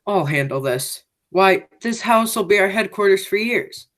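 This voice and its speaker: A woman's voice: mid-range voice, animated, moderate pace, natural voice. A young woman in an amateur radio play, playing a sinister, domineering criminal man with a commanding, insinuating manner. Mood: scheming. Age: young adult.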